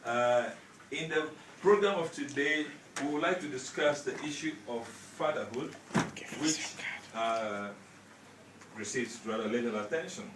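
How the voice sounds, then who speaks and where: A man's voice: medium pitch, a little slow, reverberant, background noise. A man hosting a radio interview, reading an introduction with many hesitations and fillers. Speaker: radio show host.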